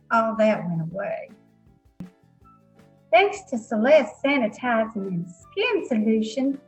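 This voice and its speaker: Actress doing vain ad testimonial lady, husky and slowish, muffled sound, bit of music, parody commercial. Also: a little high, heavily acted. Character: testimonial customer, vain self-styled karaoke queen.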